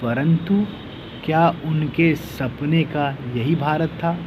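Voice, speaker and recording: A man giving a patriotic speech with recited verse in a declamatory, rhetorical manner, using dramatic pauses and repeated lines. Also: a little high, highs cut off, noisy background. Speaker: orator reciting patriotic poetry.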